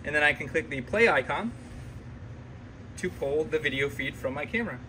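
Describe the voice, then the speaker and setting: Bright, higher-voiced man giving a tutorial. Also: expressive, moderate pace, a little hiss or hum, rough recording. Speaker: tutorial presenter for a security camera company. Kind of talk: step-by-step product tutorial.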